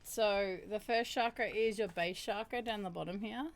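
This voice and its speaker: A woman with a deep voice hosting a livestream, chatting casually and reading meditation instructions aloud. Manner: chatty, laughs and reacts to chat; slows down to chant drawn-out syllables. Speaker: livestream host. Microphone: streaming mic.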